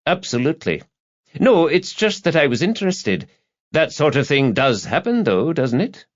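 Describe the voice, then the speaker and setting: A man voicing a sharp, scruffy, cocky newspaper reporter who probes and swaggers bluntly. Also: a little high, sing-song, moderate pace, muffled recording, voice pushed up. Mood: intrigued, prying.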